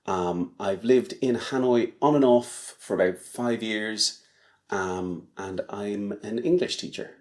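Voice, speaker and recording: Slow-speaking man with a very deep voice. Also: darkish tone. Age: 40s. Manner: slow, measured, hesitant with ums. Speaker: charity walker. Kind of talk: casual interview answers.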